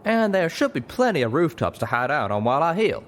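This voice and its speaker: Man doing a high-pitched cowboy pegasus. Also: big pitch swings, a little fast, a little hiss or hum. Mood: assuring. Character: wounded young male pegasus, folksy, cocky frontier cowboy.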